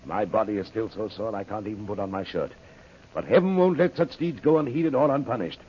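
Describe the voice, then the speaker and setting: A man's voice: fairly low pitch, lively intonation, a little fast, warm tone, husky. An actor playing a pious older clergyman in an old radio serial, speaking formally, earnestly and solemnly. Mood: fervent, grateful. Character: pious, earnest older reverend, a devoted father. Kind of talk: 1930s radio serial acting. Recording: muffled, noisy old radio recording.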